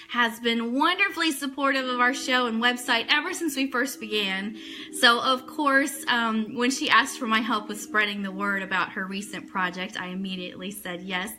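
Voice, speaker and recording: Bright, high-voiced woman hosting a livestream. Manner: chatty, addressing viewers and reading their comments. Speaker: host of a live online radio show. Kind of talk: casual livestream chat with news items.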